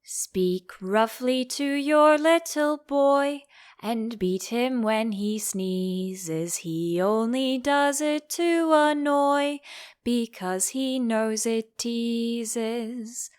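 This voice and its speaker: A woman voicing a rude, ill-tempered duchess in a storybook, with a brusque, snappish manner and a hoarse growl. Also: fairly high pitch, drawn-out words. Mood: harsh singsong.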